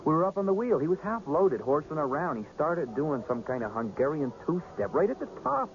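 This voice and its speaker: Obsessive, cocky carnival worker, lively pitch. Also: male voice, brisk. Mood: defensive, scornful. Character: obsessive, defensive carnival ride worker turned brooding mystic. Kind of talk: 1980s radio drama acting. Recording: muffled, noisy old radio broadcast.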